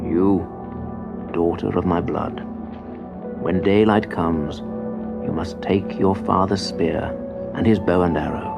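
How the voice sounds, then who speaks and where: Man playing a slow, solemn old grandfather. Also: low voice, fairly even intonation, vocal fry, loud music behind the voice, pitched below the performer's own voice, heavily acted. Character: calm, wise old grandfather, village seer.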